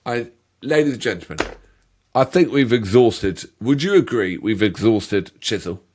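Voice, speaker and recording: A man's voice: fairly low pitch, animated, normal speed, a little mellow. A middle-aged man, co-hosting a reaction video, joking and laughing often in casual banter.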